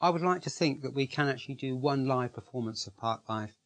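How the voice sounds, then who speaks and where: Male organiser, mid-pitched, earnest, emphatic testimonial delivery. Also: fairly quick, fairly bright tone. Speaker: project organiser. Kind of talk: interview testimonial.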